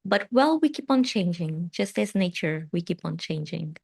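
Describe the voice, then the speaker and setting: A Portuguese woman with a Portuguese accent, English not her first language, hosting a live tarot and meditation stream, talking in a gentle, soothing way that often trails off softly. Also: on the low side, animated, moderate pace, gravelly, breathy edge. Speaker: tarot reader and meditation host. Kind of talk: live-stream chat answering viewer comments.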